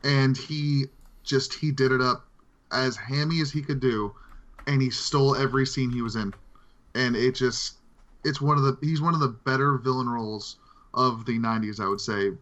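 A man's voice: medium pitch, moderate pace, fairly bright tone. A man co-hosting a podcast, giving film opinions in casual conversation, with slightly dull, lo-fi sound. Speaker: podcast co-host.

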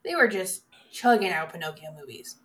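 A woman's voice: lowish voice, lively intonation, normal speed, fairly bright tone. A woman co-hosting a podcast in casual chat, with dry, offhand humour. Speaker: co-host of a horror movie podcast.

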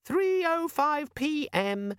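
Brief: a small, sulky, know-it-all demon in a box. Very high, fussy and smug, with a lively pitch. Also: male voice, slow, no echo, clean studio sound, full character voice. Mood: sulky pedantic. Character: sulky pedantic little demon organiser in a box.

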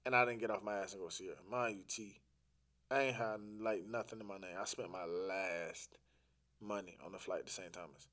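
A man's voice: low-pitched, steady tone, brisk, fairly bright tone. A man talking casually as a podcast guest, telling a personal story in informal, slangy, sometimes profane language, acting out quoted dialogue and repeating phrases for emphasis.

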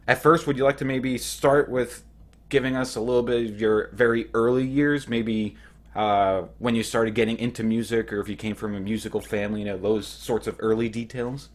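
A man's voice: mid-range voice, steady tone, moderate pace. A man hosting a podcast, welcoming his guest and asking friendly, long-winded questions. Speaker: podcast host. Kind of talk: casual interview.

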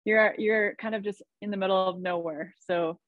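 A woman's voice: mid-range voice, fairly even intonation, normal speed, dry recording, narrow, tinny audio. A woman from Idaho, a podcast guest, chatting in an upbeat way and laughing often. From Idaho, USA. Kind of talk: casual conversation.

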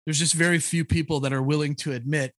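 A man in his thirties telling his own life story on a podcast, blunt and animated, laughing easily. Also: fairly high pitch, quick pace, dry recording, clean studio sound. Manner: blunt, animated, laughs often, frequent "like, you know". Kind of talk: candid conversational storytelling.

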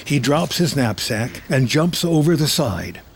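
A man with a husky voice reading scripted, present-tense screenplay narration as a storyteller. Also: medium pitch, sing-song, unhurried, a little hiss or hum.

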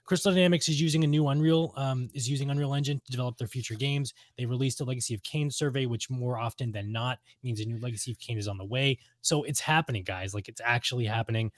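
A man's voice: mid-range voice, fast talker, hardly any pauses, no room sound. A man co-hosting a podcast, chatting informally and with enthusiasm about games. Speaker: podcast co-host. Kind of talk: casual chat about games and radio plays.

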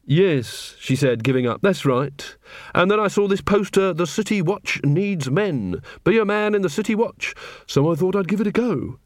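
A man voicing a sharp, guarded young woman of the city watch who speaks drily and sardonically. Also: high-pitched, animated, normal speed, dry recording, studio quality, pitched above the performer's own voice. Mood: wry.

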